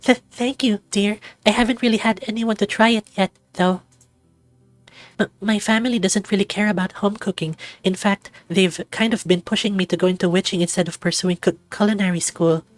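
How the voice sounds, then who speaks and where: A bashful young moth-creature woman as put on by a reader speaks in a raised, high voice. She stammers and apologizes softly through a visual novel scene, easily flustered and eager to please. The sound is close and dry. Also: steady tone, brisk, no room sound, soft background music, natural voice. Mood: bashful, nervous. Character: shy, flustered young female moth-winged sweetheart.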